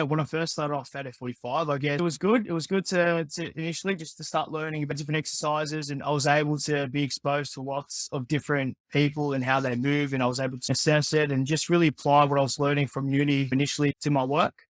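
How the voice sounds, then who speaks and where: A young man, a personal trainer and osteopath answering interview questions in a relaxed, friendly way with lots of "yeah". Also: a little high, no echo. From born in the Philippines, raised in Australia. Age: 20s. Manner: relaxed, friendly, many yeahs and restarts.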